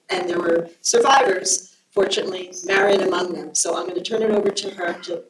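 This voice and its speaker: A woman from a local foundation giving a short, slightly halting introduction in a school hall. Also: mid-range voice. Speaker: foundation representative. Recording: echoey hall from far off, noisy.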